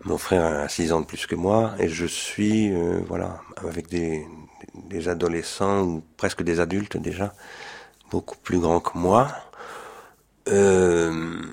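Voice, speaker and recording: A man's voice: low voice, fairly even intonation, a little slow, gravelly, darkish tone. An older man from France recalling his own youth in an interview, hesitant and often searching for words and correcting himself. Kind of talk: autobiographical recollection in an interview.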